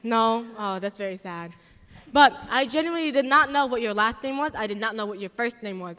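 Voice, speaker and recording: High bright young man hosting in a hall. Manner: chatty, playful, teasing. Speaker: student event host. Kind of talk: joking live interview on stage. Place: school assembly hall. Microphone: handheld mic. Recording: long hall reverb.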